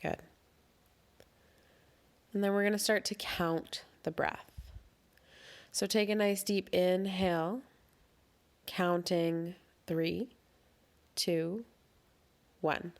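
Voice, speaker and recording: A woman leading a guided breathing meditation as an instructor, speaking in a gentle, soothing, instructional way. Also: lowish voice, fairly even intonation, extremely slow, long pauses.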